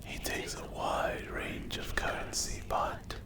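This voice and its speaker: A performer voicing a menacing, sadistic demon in a breathy whisper for a dark fantasy ASMR roleplay, speaking slowly and deliberately. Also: echoey room, natural voice. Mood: grave, disapproving. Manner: slow, deliberate, menacing delivery. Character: menacing sleep paralysis demon, sadistic predator with some morals.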